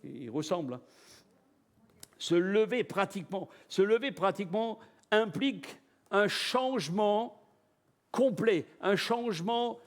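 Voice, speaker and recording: A man preaching a live church sermon, lively, asking the congregation rhetorical questions. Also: high-pitched, unhurried, pauses often. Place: church service before a congregation.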